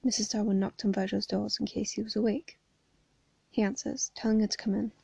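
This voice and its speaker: A young woman, hoarse from hay fever, reading a story aloud and chatting casually between chapters. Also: mid-range voice, level tone, a little fast, darkish tone, dry recording, lo-fi sound. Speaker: fan fiction reader.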